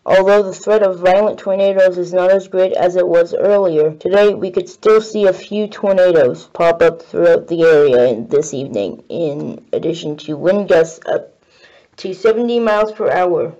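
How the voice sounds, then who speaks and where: A woman's voice: lowish voice, moderate pace, mellow, highs cut off. A woman delivering a scripted weather forecast as a broadcast forecaster.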